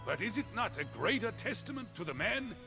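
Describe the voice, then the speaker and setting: A man acting as a dramatic storyteller, narrating medieval history for a game's storybook scenes with grave, theatrical, deliberate phrasing. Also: high-pitched, big pitch swings, vocal fry, heavily acted. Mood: solemn, stirring. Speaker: campaign narrator. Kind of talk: scripted storybook narration for a historical strategy game. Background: music.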